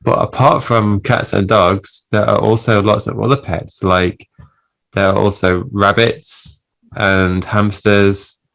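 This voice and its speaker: A woman from England, a teacher explaining things in a relaxed, casual chat and laughing easily. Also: rumbling low, takes it slowly, gravelly. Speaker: English teacher, co-host. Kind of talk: casual conversational Q&A.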